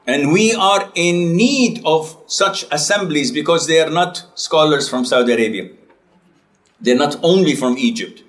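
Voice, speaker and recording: A man's voice: fairly high pitch, animated, unhurried, a bit bright. A middle-aged man giving a conversational classroom lecture, often correcting himself and putting questions to his students. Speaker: Islamic lecturer.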